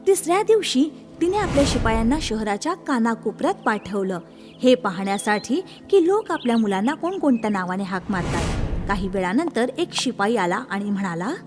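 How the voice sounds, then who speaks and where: A woman narrating a children's fairy tale in an expressive, scripted storytelling style. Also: on the high side, moderate pace, over music.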